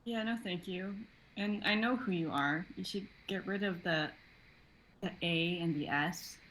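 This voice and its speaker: A woman's voice: lowish voice, unhurried, a bit bright, low-quality recording. Young woman acting lines over background noise. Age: young adult. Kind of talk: dramatic script reading, acting characters. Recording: noticeable background noise, online stream.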